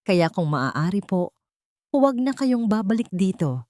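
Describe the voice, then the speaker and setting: A woman's voice: medium pitch, measured pace, no room sound, clean studio sound. Woman narrator as cold, dignified young mother. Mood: firm, cold. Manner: cold but polite, steady. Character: firm, dignified young mother standing up for herself.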